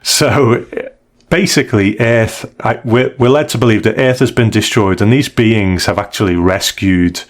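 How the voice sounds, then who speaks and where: Low voiced guy cohosting a podcast, casual review chat, kinda measured, explains stuff. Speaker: podcast co-host.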